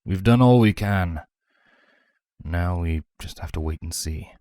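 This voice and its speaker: A man's voice: sits low, measured pace, dark tone, dry recording, clean studio sound. A man voicing a grave, blunt and weary unicorn doctor in a fantasy storybook reading.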